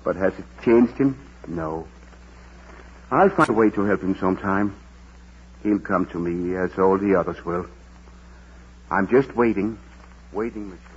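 An actor playing a kindly parish priest, thoughtful and measured, in a Golden Age radio drama. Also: male voice, deep voice, slight background noise. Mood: reflective, hopeful. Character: gentle, wise, patient male parish priest. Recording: dull old radio drama recording.

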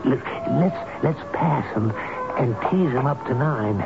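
A man's voice: mid-range voice, lively intonation, measured pace, creaky, loud music behind the voice. An actor playing an eccentric, folksy old elevator man in a radio drama, talking tenderly to his machine. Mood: gleeful, fond. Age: older. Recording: noisy, dull lo-fi radio drama recording.

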